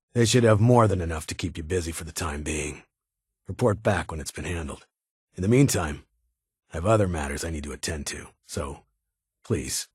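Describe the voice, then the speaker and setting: An actor playing a stern, weary security chief in a video game, with a husky voice and a formal, clipped, authoritative manner. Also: male voice, low voice, darkish tone, no room sound, clean studio sound. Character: stern, weary male vault security chief, guarded but honorable.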